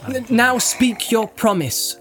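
A man voicing a stern, commanding hobbit in a storybook reading, speaking gravely and with firm emphasis. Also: very high-pitched, slow, music in the background, pitched above the performer's own voice.

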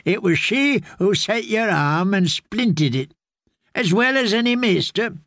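A man voicing a kindly, courteous old man in rags who tends wounds, in a slow, soothing storybook reading. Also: sits high, expressive, fairly bright tone, no room sound, clean studio sound, pitched above the performer's own voice, full character voice. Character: kindly old ragged man, gentle healer.

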